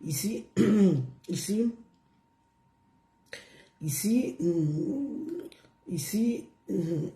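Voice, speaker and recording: A hoarse woman chatting on a live stream, repeating herself and coaxing viewers along with drawn-out words. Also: medium pitch, animated, dark tone, roomy sound. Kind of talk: casual live stream chat.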